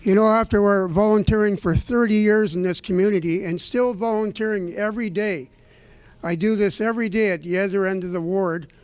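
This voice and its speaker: A man's voice: sits high, steady tone, fairly quick, darkish tone, clean tone. A middle-aged man giving closing remarks as a council candidate, speaking earnestly and rambling, often repeating himself. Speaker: election candidate.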